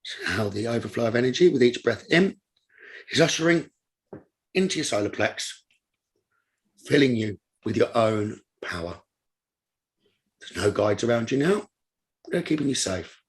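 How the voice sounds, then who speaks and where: A man leading a guided meditation as a teacher, with a husky voice, speaking in calm, instructive short phrases with pauses. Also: medium pitch, poor audio.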